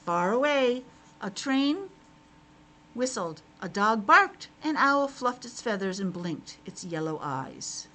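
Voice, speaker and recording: A woman with a fairly high voice reads to young children like a teacher. She explains simply and adds chatty asides, over clear background noise. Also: sing-song, slow, fairly bright tone, rough recording.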